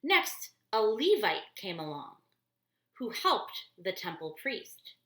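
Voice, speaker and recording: Slow-paced woman reading to children, roomy echo. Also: medium pitch, sing-song, sharp tone. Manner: deliberate, explains things simply. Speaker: storybook reader for church children. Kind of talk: expressive children's read-aloud with simple asides. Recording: roomy, echoey indoor sound.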